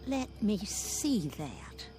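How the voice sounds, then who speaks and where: A woman's voice: mid-range voice, very animated, drawn-out words, dark tone, soft background music. An actress playing a frail, wistful elderly woman in a radio drama. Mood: doubtful, curious.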